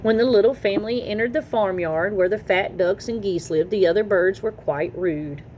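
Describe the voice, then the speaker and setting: A woman reading a children's story aloud in an expressive, animated storytelling manner. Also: mid-range voice, moderate pace, dull audio. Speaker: children's story reader and channel host. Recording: noticeable background noise.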